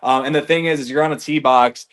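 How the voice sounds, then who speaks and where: A man in his thirties from Michigan, a golf reviewer chatting as a podcast guest, energetic and loose, swearing casually and saying "man" and "like" a lot. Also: a little high, quick pace. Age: 30s. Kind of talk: casual chat.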